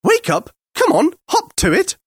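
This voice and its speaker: A storyteller puts on a haughty, bad-tempered Martian female for a children's story. She is pitched high and swoops about with rude, exasperated impatience. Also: wildly swooping pitch, a little slow, no room sound, studio quality, full character voice. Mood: irritable.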